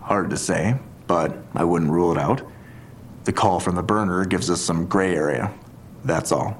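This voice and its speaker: An actor playing a skeptical police detective in an audio drama, talking in a terse, dry, deliberate way. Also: male voice, low-pitched, slight background noise. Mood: measured, businesslike.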